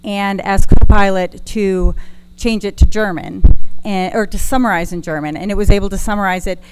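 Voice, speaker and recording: A woman with a medium-pitched voice speaks informally to a conference room as a co-presenter. She engages the audience interactively, and a faint noise sits behind her. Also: steady tone, normal speed.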